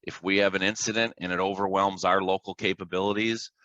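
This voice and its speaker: Deep-voiced American man, bright and forceful. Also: fairly even intonation, fairly quick, no echo, highs cut off. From Illinois, United States. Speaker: podcast guest, fire dispatcher. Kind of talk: casual explanatory interview talk with anecdotes.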